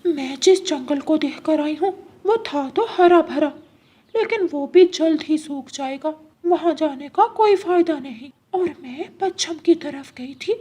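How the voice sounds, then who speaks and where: A woman's voice: high-pitched, dark tone. A woman voicing a scheming, predatory female eagle who plots in declarations, in a cartoon story. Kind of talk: Hindi cartoon story acting.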